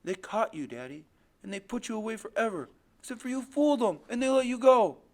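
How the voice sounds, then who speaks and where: A man's voice: high voice, lively intonation, a little fast. A man voicing a desperate, frightened boy in an audiobook, pleading and accusing in raised outbursts. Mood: urgent, accusing. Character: desperate, frightened boy confronting his murderous father. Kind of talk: audiobook character voice.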